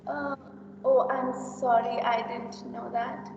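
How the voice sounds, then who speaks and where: Woman acting a high-voiced gossipy young woman, echoey hall. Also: slow, vocal fry. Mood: flustered, apologetic. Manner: exaggerated, animated, giggly reactions. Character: tactless, gossipy young woman, chatty and judgmental, easily flustered. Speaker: teacher acting in a classroom reader's theater. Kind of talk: amateur reader's theater performance. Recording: dull, echoey hall from far off, hum.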